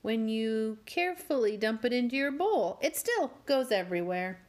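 A woman hosting a home cooking channel, walking through a cake recipe step by step with gently wry asides. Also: on the high side, big pitch swings, moderate pace. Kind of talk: calm step-by-step baking instruction. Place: home kitchen.

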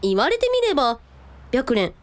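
Woman playing an animated, high-voiced eldest sister. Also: normal speed. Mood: wistful. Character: easygoing, brave-faced eldest sister, a musician. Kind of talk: radio drama acting.

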